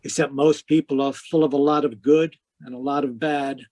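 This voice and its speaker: A man's voice: fairly high pitch, little pitch movement, moderate pace, darkish tone, dry recording, lightly acted. A man playing a cynical, world-weary private eye in 1940s radio style, with a terse, clipped manner. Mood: cynical recounting. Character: hard-boiled male private eye, wry and cynical. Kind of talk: 1940s radio detective acting and narration.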